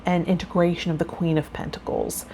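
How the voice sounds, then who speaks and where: A woman's voice: lowish voice, flat delivery, fairly quick, very noisy. A woman speaking to viewers as a tarot vlogger and spiritual guide, reading aloud and chatting.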